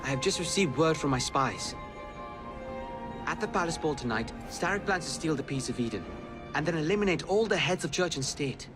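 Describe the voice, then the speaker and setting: A man acting a commanding gentleman spymaster from a Victorian video game. His voice is fairly high for a man, formal and urgent, with music behind it. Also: expressive, brisk, close to an everyday voice.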